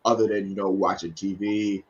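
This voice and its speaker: A man with a husky voice, a guest educator reading and talking to families in an informal way. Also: low-pitched, little pitch movement, moderate pace. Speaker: guest educator and children's book reader. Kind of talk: storybook read-aloud and informal talk. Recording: dull lo-fi online stream.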